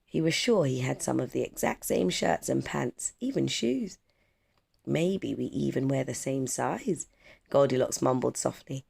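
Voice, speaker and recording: A storyteller reading a children's picture book aloud in measured, expressive phrases. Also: medium pitch, vocal fry, slightly rough. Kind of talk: children's storybook read-aloud, scripted narration.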